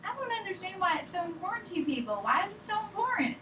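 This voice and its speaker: A woman's voice: extremely high pitch, sing-song, quick pace. A woman acting a stubborn, evasive, sick woman patient in a drama table read, argumentative and rambling. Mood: exasperated. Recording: echoey room, distant mic, faint noise.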